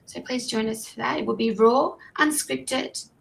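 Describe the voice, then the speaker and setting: A woman hosting a casual live-stream chat, talking in a chatty, apologetic way and stumbling over her words, with her voice going hoarse. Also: on the high side, moderate pace, slight background noise, poor audio. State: losing her voice. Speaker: host.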